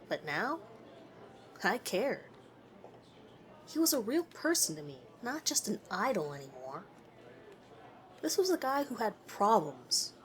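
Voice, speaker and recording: A woman narrates a scripted story in the first person, reading it aloud as the storyteller. Her voice sits at an average pitch for a woman.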